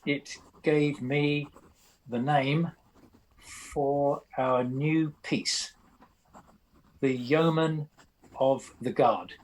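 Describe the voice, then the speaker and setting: A man's voice: a little high, fairly even intonation, extremely slow, pauses often, low-quality recording. A British man acting a self-assured, wry Victorian comic opera writer in a radio play, with a dry, formal manner. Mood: measured, pleased.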